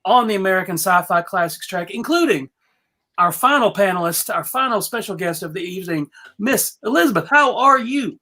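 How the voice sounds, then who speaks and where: A middle-aged woman hosts a relaxed panel chat. She speaks informally at a middle pitch, guiding the conversation in a chatty way. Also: a little fast. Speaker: panel host. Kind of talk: casual chat, moderating a panel.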